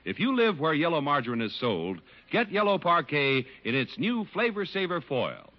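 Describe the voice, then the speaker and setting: Male American 1950s radio announcer, lively swooping scripted delivery, muffled old broadcast sound. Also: fairly high pitch, normal speed. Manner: polished, emphatic. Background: music.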